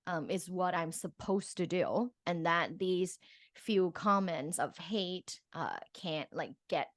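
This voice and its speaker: Deeper-voiced woman chatting casually, close dry sound. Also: takes it slowly, no echo. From Thailand. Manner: informal, peppers speech with "like". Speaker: guest, professor and sexologist. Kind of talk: casual interview chat.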